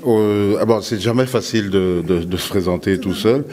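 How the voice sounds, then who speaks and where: A man with a low voice answers casually as an interview guest. He is from DR Congo and Switzerland, and he feels his way through sentences, often repeating a word while he thinks. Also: moderate pace, a little mellow, a little hiss or hum. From born in DR Congo, grew up in Switzerland and Belgium. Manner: hesitant, repeating words as he thinks.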